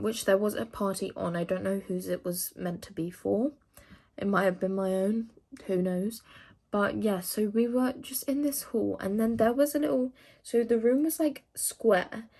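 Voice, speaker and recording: A woman's voice: medium pitch, gravelly, a little mellow. A teenage woman from England chatting casually to camera, rambling with lots of fillers as she answers questions. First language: English. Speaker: vlogger.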